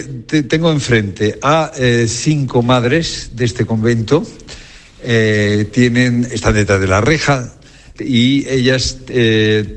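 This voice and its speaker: A man, reporting and interviewing on location, hesitating often with filler sounds. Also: mid-range voice.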